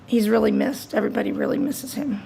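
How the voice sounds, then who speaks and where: Hoarse, raspy woman with laryngitis. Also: a little high, quick pace, dark tone. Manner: reading a prepared statement. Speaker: teachers' union president. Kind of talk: prepared public address at a board meeting. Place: school district boardroom.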